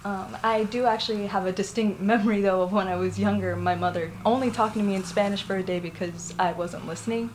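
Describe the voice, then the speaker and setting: A woman's voice: medium pitch, steady tone, fast talker, hardly any pauses, slight background noise, low-quality recording. A young woman from Florida with a Puerto Rican family, telling a personal story in a candid, rambling way, full of fillers and laughs. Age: young adult. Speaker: interviewee.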